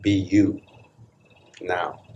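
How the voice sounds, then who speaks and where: A man leading a guided meditation as a spiritual teacher, speaking calmly and deliberately in short phrases with long pauses between them. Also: sits low, slightly rough, dull audio. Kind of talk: guided meditation, slow spoken instructions. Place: live meditation session in Los Angeles.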